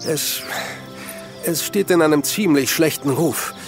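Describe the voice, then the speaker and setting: An actor playing a hesitant country doctor in a radio play, speaking in a grave, reluctant way. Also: male voice, on the high side, a little slow, faint music behind. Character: Dr Fremantle, hesitant male country doctor and botanist. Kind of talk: audio drama dialogue acting.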